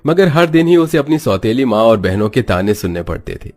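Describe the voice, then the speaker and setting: A man's voice: medium pitch, big pitch swings, a little fast, a little mellow, soft background music. A man narrating a scripted moral story.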